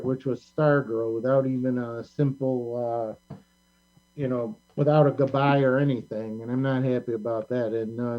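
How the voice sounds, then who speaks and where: A middle-aged man hosting a casual panel discussion, talking in a rambling, halting way. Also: mid-range voice. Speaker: talk show host. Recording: muffled lo-fi audio with mains hum.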